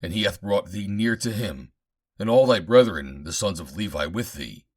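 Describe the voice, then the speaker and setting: Male reader as stern scriptural prophet: low register, commanding, indignant, emphatic, sweeping intonation. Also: lively intonation, moderate pace, darkish tone. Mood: reproachful. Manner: declamatory, emphatic.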